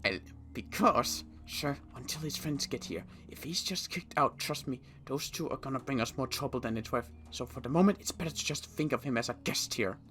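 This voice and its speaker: A man's voice: medium pitch, lively intonation, fairly quick, few pauses, fairly bright tone, faint music behind, close to an everyday voice. A man playing a firm subordinate soldier in an improvised Minecraft zombie roleplay, formal and deferential yet insistent. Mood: firm, reasoning. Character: firm, outspoken male subordinate survivor soldier.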